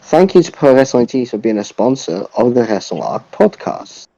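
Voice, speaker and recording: A man's voice: mid-range voice, sing-song, moderate pace, gravelly, dark tone, no echo. A man co-hosting a podcast in casual chat, recorded dry on a dull, lo-fi mic. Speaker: podcast co-host.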